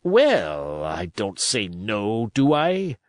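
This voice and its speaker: A man reading a storybook, voicing an impatient, mutinous pirate coxswain who grumbles in rough sailor speech. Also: fairly low pitch, wildly swooping pitch, extremely slow, no room sound, studio quality, exaggerated voice.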